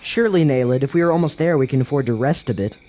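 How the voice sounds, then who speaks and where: An actor playing a thoughtful, questioning adventurer in a fantasy radio drama, earnest and persuasive, with formal phrasing. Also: male voice, medium pitch, rapid-fire, mellow, close to an everyday voice. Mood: calm.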